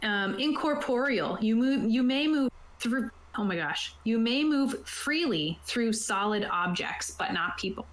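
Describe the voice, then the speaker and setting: A woman's voice: on the high side, expressive, unhurried, few pauses, echoey room. Female game master, casual and chatty, explaining rules on an online call. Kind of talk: casual tabletop game prep, reading rules aloud. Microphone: own microphone on an online voice call.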